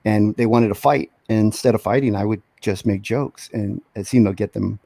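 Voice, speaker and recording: A man's voice: on the low side, steady tone, normal speed, vocal fry, mellow. A man from Texas, a comic book inker, chatting casually as a guest, joking and laughing often. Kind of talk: casual chat.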